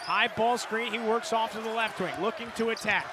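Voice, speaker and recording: An American man doing live radio play-by-play of a basketball game in clipped, energetic phrases. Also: high voice, moderate pace. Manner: clipped phrases, builds to excited calls on big plays. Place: courtside in a large indoor arena. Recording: live arena broadcast, loud court noise behind. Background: loud arena noise, bouncing basketball.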